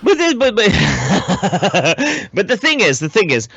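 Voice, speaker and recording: A man's voice: sits high, big pitch swings, slow pace, vocal fry, a bit bright, slightly rough, no echo, highs cut off. A man co-hosting a casual podcast, giving opinionated commentary in an emphatic, profane way, often repeating his phrases. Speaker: podcast co-host.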